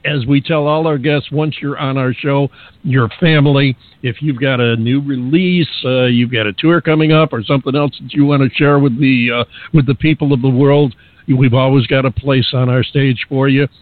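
A man hosting a radio show, interviewing a guest in a genial, halting way with many restarts. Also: mid-range voice, steady tone, no room sound, narrow, tinny audio.

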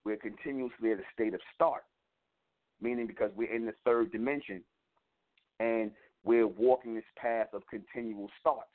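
A man hosting an internet radio show, delivering a repetitive, emphatic spiritual teaching monologue. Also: medium pitch. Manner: preaching, repetitive emphatic phrasing. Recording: tinny phone line.

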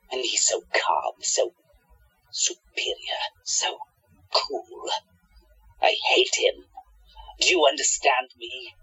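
Man playing a breathy, halting, tormented tyrant. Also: medium pitch, pushed and bright, exaggerated voice, rough recording. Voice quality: breathy, whispery. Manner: halting, gasping, repetitive. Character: terrified, tormented male tyrant trapped in nightmares. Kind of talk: audio drama acting.

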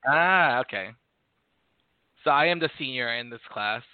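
A man in his late thirties chats playfully as a podcast co-host, with campy teasing and quick laughs. His voice moves up and down in a lively way. The recording is dry and thin, like a phone line. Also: medium pitch, smooth, dry recording. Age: 30s. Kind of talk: casual podcast chat. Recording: tinny phone-like call audio, dry.

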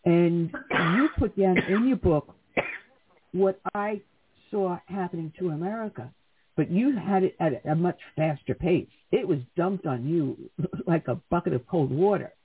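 A man's voice: high-pitched, measured pace, slight background noise. A man co-hosting a conservative talk radio show, telling stories in an opinionated, indignant way. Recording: tinny phone-like sound.

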